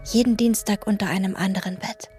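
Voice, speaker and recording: An actress playing a withdrawn, obsessive hotel chambermaid in a radio play, speaking in calm, terse sentences. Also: female voice, mid-range voice, fairly quick, soft background music, lightly acted. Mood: brooding. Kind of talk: radio play, inner monologue and dialogue.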